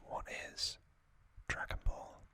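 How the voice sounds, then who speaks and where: An ASMR host narrating in a breathy, unvoiced whisper, hushed and deliberate, with frequent pauses. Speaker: host of an ASMR trivia channel.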